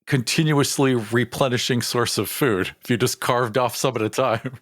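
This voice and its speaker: A man's voice: medium pitch, steady tone, normal speed, fairly bright tone, no room sound. Chatty man, dry studio-like sound. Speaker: podcast co-host. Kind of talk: casual chat, answering listener questions.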